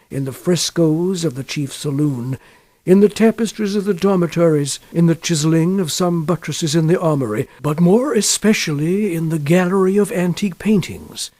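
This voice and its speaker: A male reader performs a gloomy nobleman recounting a gothic tale in literary, dramatic style. His voice sits a little high and moves widely in pitch, with solemn, measured phrasing and deliberate pauses. The sound is close and dry. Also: darkish tone, no echo. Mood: grave, proud. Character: gloomy, brooding male narrator from an old noble family. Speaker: audiobook reader.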